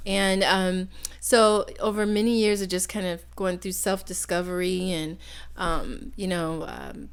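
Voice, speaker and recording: A soft-spoken woman co-hosting a new talk show, chatting casually and warmly agreeing with her partner. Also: lowish voice, flat delivery, a little slow, smooth. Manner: soft-spoken, agreeable, says she is shy. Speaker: podcast co-host. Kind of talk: casual chat.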